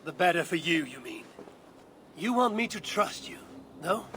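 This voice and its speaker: An actor playing a blunt, distrustful Viking warrior in a video game, speaking in a curt, sardonic, challenging way. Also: male voice, high-pitched, animated, normal speed, pushed and bright, a little hiss or hum. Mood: irritated, challenging. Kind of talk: acted game cutscene dialogue.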